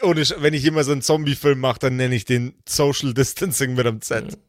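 A man's voice: mid-range voice, fairly even intonation, brisk, no room sound, studio quality, faint music behind. A man playing in an improvised tabletop role-playing game, chatting casually in a joking, colloquial way.

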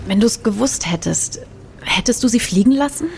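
A woman's voice: a little high, lively intonation, moderate pace, gravelly, slight background noise. An actress playing a worried woman on a space mission team, earnest and questioning, in a naturalistic audio drama. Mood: probing.

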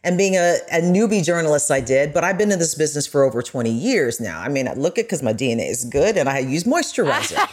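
A woman's voice: low-pitched, big pitch swings, fast, hardly any pauses, a little mellow. A middle-aged woman, a journalist guest, speaking earnestly and emphatically in a conversational interview.

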